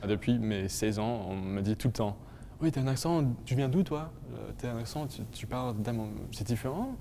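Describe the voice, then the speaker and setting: A young man with a Manitoban French accent, telling stories in a lively way, repeating words and slipping into English. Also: medium pitch, normal speed, a little hiss or hum. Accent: Manitoban French with English influence. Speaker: interviewee. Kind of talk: testimonial talk.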